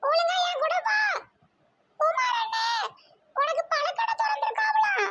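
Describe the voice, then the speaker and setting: A feisty little girl with an extremely high, piercingly bright cartoon voice. She speaks with a southern Tamil Nadu accent, stretching her words for emphasis and sounding earnest and easily exasperated. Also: lively intonation, measured pace, muffled recording, exaggerated voice. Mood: impatient, scolding. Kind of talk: amateur cartoon comedy voice acting.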